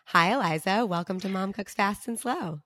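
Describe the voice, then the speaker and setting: A woman's voice: medium pitch, normal speed, fairly bright tone, no echo, clean studio sound. A woman hosting a podcast interview, introducing her guest and asking eager questions with some fillers. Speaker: podcast host.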